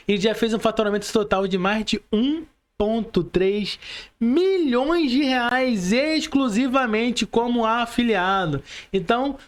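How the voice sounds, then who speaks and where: A man with a Brazilian Portuguese accent hosting a casual podcast interview, addressing people in chummy, informal slang. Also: very high-pitched.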